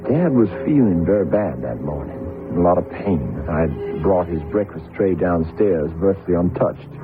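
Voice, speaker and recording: Tormented young misfit, radio drama. Introspective and earnest, lowish voice, narrow vintage broadcast sound with music behind. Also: male voice, animated. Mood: brooding. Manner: earnest, introspective, halting when pleading. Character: very tall, lonely, tormented young man, feels alien. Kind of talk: radio drama acting, first-person narration and dialogue. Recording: tinny old radio broadcast recording.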